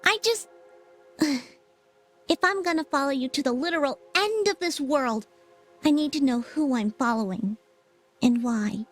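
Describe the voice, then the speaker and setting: A woman's voice: high-pitched, a little slow, pauses often, soft background music. A woman voicing a feisty, cute small flying fox companion in a video game, sassy and emphatic. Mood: earnest.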